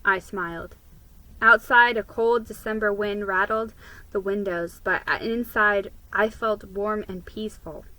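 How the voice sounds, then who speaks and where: A woman giving a deliberate, theatrical reading of a children's story as its narrator. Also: mid-range voice, fairly even intonation, bright, forceful tone, no room sound, low-quality recording. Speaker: storybook reader.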